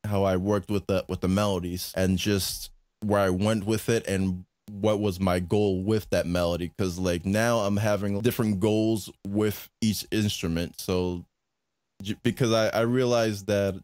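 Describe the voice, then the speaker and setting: A man with a low voice chatting on a podcast about making music, speaking hesitantly with many restarts. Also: fairly even intonation, a little slow, darkish tone, no echo. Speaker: music producer and streamer. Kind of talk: casual chat.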